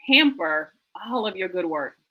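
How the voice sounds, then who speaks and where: Bright, emphatic woman hosting a book chat. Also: on the low side, expressive, slow pace, rough recording. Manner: emphatic, exhortative, repeating phrases for effect. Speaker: host of a book talk show. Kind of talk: enthusiastic conversational book discussion.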